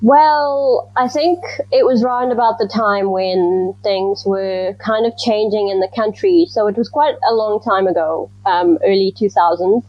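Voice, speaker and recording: Woman from Zimbabwe, measured guest. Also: mid-range voice, dry recording, dull audio, faint music behind. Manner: thoughtful, measured, with frequent ums. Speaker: guest researcher. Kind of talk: casual interview answers.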